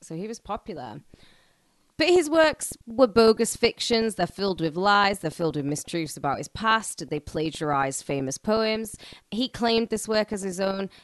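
A woman's voice: medium pitch, no room sound. A woman hosting a podcast, reading scripted true-crime narration and breaking into slangy, irreverent, joking banter. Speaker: podcast host.

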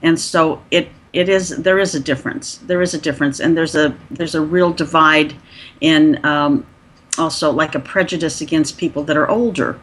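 A woman in her sixties, a podcast guest, telling her story in a chatty way with many pauses and restarts. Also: low voice, steady tone, slight background noise. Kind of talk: conversational interview.

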